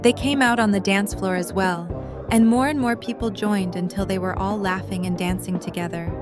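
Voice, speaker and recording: A woman's voice: mid-range voice, moderate pace. Narrator: woman, mid-range voice, scripted story narration for audio drama, music underneath. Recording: music and party chatter behind. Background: music and crowd chatter.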